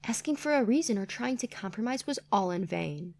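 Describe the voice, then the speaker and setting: A woman's voice: mid-range voice, lively intonation, fairly quick, gravelly, a little mellow. A woman reading a scripted first-person family drama as a story narrator.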